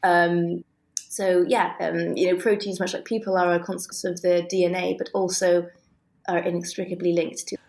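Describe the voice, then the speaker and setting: A woman's voice: fairly low pitch, level tone, moderate pace, gravelly, a little mellow. A woman with a British accent explaining technical detail conversationally and with enthusiasm, as an interview guest. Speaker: guest, scientist and author.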